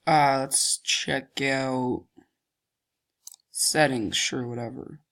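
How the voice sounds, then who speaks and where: A man's voice: medium pitch, fairly even intonation, drawn-out words, fairly bright tone. A young man with a cold and a stuffy voice, giggling mischievously as he talks through a screen-recorded game and thinks aloud while clicking around. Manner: giggly, mischievous, often breaks into laughter. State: has a cold, stuffy deeper voice. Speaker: gaming channel host. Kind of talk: casual screen-recording commentary.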